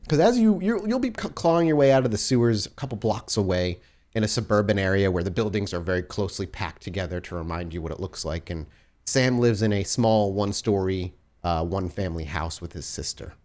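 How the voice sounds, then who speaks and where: A man's voice: deep voice, a little fast, a little mellow. A man narrating a tabletop role-playing game as storyteller, describing scenes in detail.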